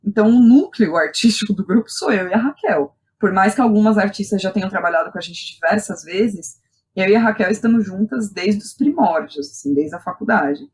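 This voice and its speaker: A young woman with a Brazilian accent talks casually as an interview guest. Her pitch sits in the middle for a woman. She is animated and colloquial, often seeking the listener's agreement as she tells her own story. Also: a little fast. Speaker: guest, playwright and theatre director.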